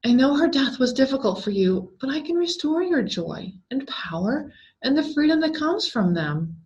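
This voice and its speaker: A woman voicing a ghostly female reflection in a horror story, deliberate, tempting and persuasive. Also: high voice, very animated, darkish tone, pitched above the performer's own voice. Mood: coaxing. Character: ghostly female mirror double, tempting and coaxing. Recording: dull, lo-fi sound.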